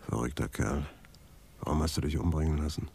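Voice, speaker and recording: An actor playing a brooding police detective who mutters terse observations in a radio crime drama. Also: male voice, extremely low pitch, brisk, vocal fry, noisy background. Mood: brooding, pondering.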